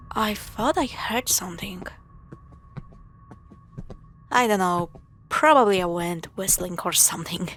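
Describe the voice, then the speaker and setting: A woman playing a teasing, affectionate young girlfriend in an intimate scripted audio roleplay, with sarcastic banter and hushed asides. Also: medium pitch, slight background noise. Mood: uneasy, concerned.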